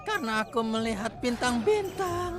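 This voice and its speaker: An actor voicing a goofy, excitable, food-loving young man in a cartoon dub. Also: mid-range voice, normal speed, close to an everyday voice. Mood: goofy, dreamy. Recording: music behind the voice.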